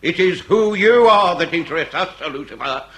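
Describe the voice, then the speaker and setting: A man's bright, rather high voice over faint noise, a shrewd gentleman investigator in a period radio comedy thriller. He is florid and insistently polite, with lively swoops. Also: moderate pace. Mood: suave, courteous. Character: suave, shrewd gentleman investigator, courteous and probing.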